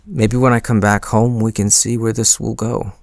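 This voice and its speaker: A man's voice: fairly low pitch, little pitch movement, normal speed, creaky, no room sound, clean studio sound. A man playing a shy, smitten young man in an intimate boyfriend roleplay audio, speaking hesitantly with bashful stammers. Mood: tender, earnest.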